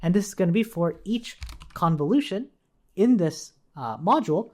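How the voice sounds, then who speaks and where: A man's voice: high voice, animated, moderate pace. A man giving a casual live coding tutorial, thinking aloud in an informal, explanatory way as he works. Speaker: programming tutorial instructor.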